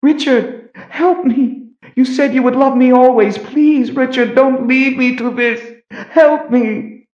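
A man's voice: very high-pitched, steady tone, slow, darkish tone, echoey room, lo-fi sound, voice pushed up. A man voicing the apparition of a lost beloved woman, desperate and imploring, in a fantasy audiobook. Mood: desperate, pleading.

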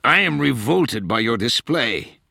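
A man voicing a domineering female senior presidential advisor, raspy, stern and cutting, in a dramatized audiobook. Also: mid-range voice, very animated, a little slow, bright, forceful tone, no room sound, clean studio sound, voice pushed up, exaggerated voice. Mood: icy contempt.

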